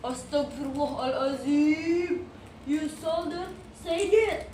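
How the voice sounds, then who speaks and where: A woman's voice: high voice, fairly even intonation, slow pace, dark tone. A woman acting a kind but pious, moralising neighbour in an amateur puppet show. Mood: scolding. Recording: distant mic, echoey noisy room.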